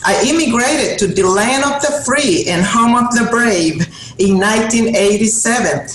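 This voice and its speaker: A woman's voice: on the high side, normal speed. A middle-aged woman from Honduras, a native Spanish speaker with a Honduran Spanish accent, giving an earnest motivational speech to an audience, with emphatic repeated phrases. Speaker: guest speaker. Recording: roomy hall sound with faint noise.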